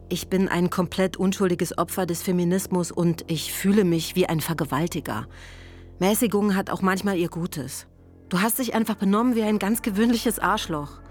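A woman's voice: medium pitch, close to an everyday voice. A woman playing a sardonic, blunt actress in recovery from addiction, speaking a letter as a dry radio-play monologue.

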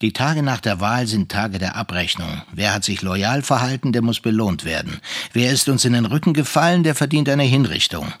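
A man's voice: mid-range voice, lively intonation, normal speed, a bit bright. A man playing a calculating, vengeful state premier in a cold, pointed monologue. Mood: cold, scheming. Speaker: actor in a radio drama. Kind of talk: dramatic first-person monologue.

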